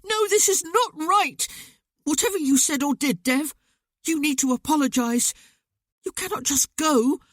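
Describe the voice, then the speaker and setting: A narrator voicing a hot-tempered teenage boy who protests and pleads in a raised, high voice in an audiobook. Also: measured pace, no room sound, studio quality. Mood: distraught. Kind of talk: audiobook character voice.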